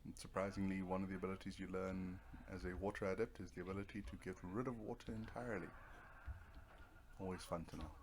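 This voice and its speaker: A man's voice: deep voice, steady tone, quick pace. A man giving casual let's play commentary as a gaming video host, laid-back and muttering asides to himself.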